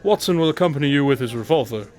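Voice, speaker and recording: A man playing a confident, commanding detective in a radio drama, deliberate and dismissive in manner. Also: medium pitch, expressive, darkish tone, soft background music, close to an everyday voice. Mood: brisk, assured.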